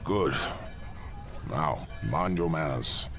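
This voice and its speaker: A man's voice: a bass voice, very slow, vocal fry, fairly bright tone, soft background music, full character voice. A man playing a doting but duty-bound king in a fantasy audio drama, with formal archaic speech. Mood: tender, proud. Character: doting fatherly king, stern about duty.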